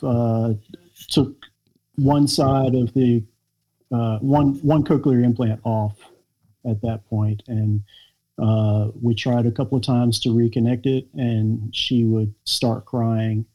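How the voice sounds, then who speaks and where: An American man, a podcast guest, telling a personal family story in a halting, reflective way with many pauses and fillers. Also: medium pitch, steady tone, highs cut off. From United States. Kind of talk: podcast interview conversation.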